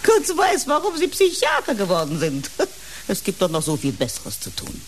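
A woman's voice: mid-range voice, wildly swooping pitch, moderate pace, slight background noise. An actress in a German radio play playing an older, pious housekeeper, blunt, motherly and needling in a dry, folksy way. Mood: teasing, chiding. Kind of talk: German radio drama acting.

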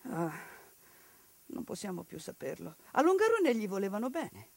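A woman delivering an impassioned theatrical monologue, building rhetorical lists and dropping into hushed bitterness. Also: medium pitch, sing-song, normal speed, creaky, no echo. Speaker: stage actress.

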